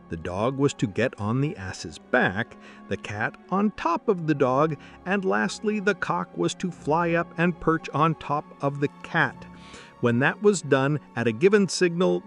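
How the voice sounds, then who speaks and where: Expressive man narrating a bedtime fairy tale. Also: on the high side. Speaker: storyteller.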